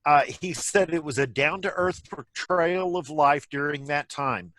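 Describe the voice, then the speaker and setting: A man's voice: a little high, a little slow, fairly bright tone, dry recording. A man casually moderating a group discussion on a video call and reading out comments.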